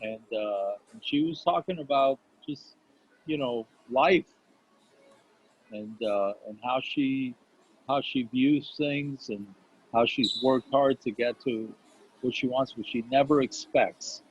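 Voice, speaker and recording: A man's voice: mid-range voice, slow. A native Spanish-speaking man chatting casually in a group discussion, searching for his words as he goes. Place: video call. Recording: muffled, noisy video call audio.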